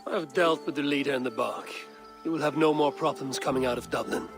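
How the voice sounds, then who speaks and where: A male actor's stern Viking warrior from a video game, medium in pitch. The speech is terse and self-assured, measured and commanding, with music playing clearly behind it. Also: natural voice. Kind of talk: video game cutscene acting.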